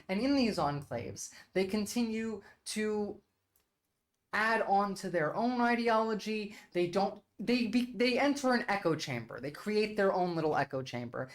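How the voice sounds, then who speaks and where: A Turkish-born woman, debating her chat on a casual livestream. She argues bluntly and emphatically, peppering her points with "like". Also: mid-range voice. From Turkey. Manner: blunt, argumentative and emphatic, with frequent "like" fillers.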